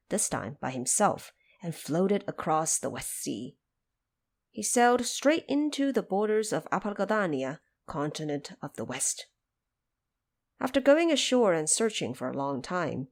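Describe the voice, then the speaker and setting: A woman narrating a scripted audio drama as an expressive, dramatic storyteller. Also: lowish voice.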